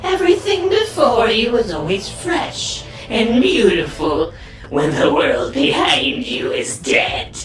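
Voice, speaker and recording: A cruelly delighted female demon taunts in a slow, theatrical sing-song. Her voice swoops about in a deep echo, a horror-drama villain over music. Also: very animated. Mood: sadistic delight. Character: cruel, gleeful demonic sisters, living blades. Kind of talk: horror audio drama acting. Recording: echoing distant voice over music.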